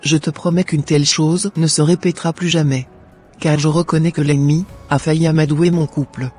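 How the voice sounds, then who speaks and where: Guy doing a young churchgoing woman in a cartoon, a bit high and husky, earnest. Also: level tone, a little fast, warm tone, faint music behind. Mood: grateful. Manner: earnest, plaintive, then grateful and pious.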